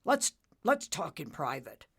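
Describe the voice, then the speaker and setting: A woman voicing a proud, booming father storm cloud with a smug manner. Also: very animated, unhurried, gravelly, husky, full character voice.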